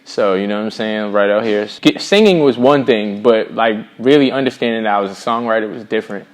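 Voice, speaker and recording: Casting: American man, middle-aged, lowish voice, earnest, slangy musician chatting as an interview guest. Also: a little fast. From Boston, USA. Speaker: singer-songwriter, interview guest. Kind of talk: casual interview conversation.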